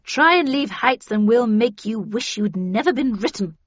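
A woman's voice: fairly high pitch, animated, moderate pace, no room sound. A woman voicing a stern, cold, commanding female official, severe and formal, in an audiobook. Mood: threatening. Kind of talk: audiobook character dialogue. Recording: dull, band-limited audio.

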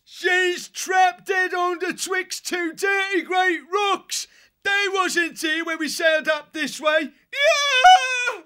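A man voicing a panicked rat sailor in a storybook, yelling in rustic sailor talk. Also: extremely high pitch, takes it slowly, long pauses, voice pushed up, exaggerated voice.

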